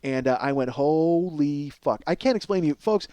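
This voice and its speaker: A man's voice: fairly high pitch, animated, moderate pace, warm tone, clear, resonant tone, no room sound. A man hosting a podcast in a casual comedic monologue, rambling, cutting himself off, swearing and mocking himself. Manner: rambling, self-interrupting, profane; self-mocking. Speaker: podcast host.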